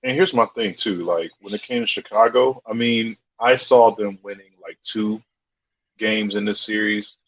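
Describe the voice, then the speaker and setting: A man's voice: fairly low pitch, moderate pace, lo-fi sound. A man co-hosting a sports talk show, casual and slangy, often acting out what players might be thinking. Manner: animated, slangy, acts out players' thoughts.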